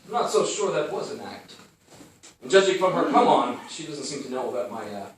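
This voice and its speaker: A world-weary private detective from a 1930s noir radio play, acted by a man, musing in a wry, hard-boiled way. His pitch moves around a lot, and he sounds distant in a very echoey space over prominent music. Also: sing-song, brisk, a little hiss or hum. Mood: wry, exasperated. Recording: roomy, distant, music underneath.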